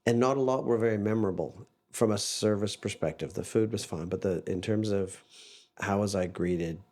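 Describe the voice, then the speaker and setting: Low-voiced man with a Canadian-Aussie accent. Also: normal speed, a little mellow. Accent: Canadian and Australian mix. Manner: chatty, rambling, restarts often. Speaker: podcast guest. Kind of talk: casual interview chat.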